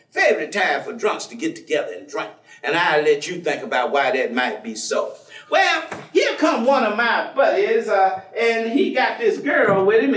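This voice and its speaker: A man acting as a devout, playful ex-drinker preacher with a high voice that swings widely in pitch. He spins homespun stories in loose vernacular and keeps pressing his point. It is a stage play, heard from a distance in an echoing theatre. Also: fairly bright tone. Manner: folksy vernacular, theatrical, drawn-out exclamations. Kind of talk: staged play reading, colloquial storytelling. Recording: echoey stage, distant microphone.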